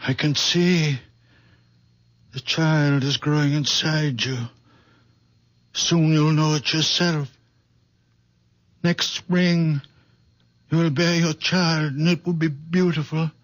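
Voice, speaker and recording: An actor playing a very old man with healing hands, speaking softly and slowly in a 1970s radio drama. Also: on the high side, fairly even intonation, dry recording. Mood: prophetic. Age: older. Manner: soft, slow, otherworldly. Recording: dull vintage radio broadcast.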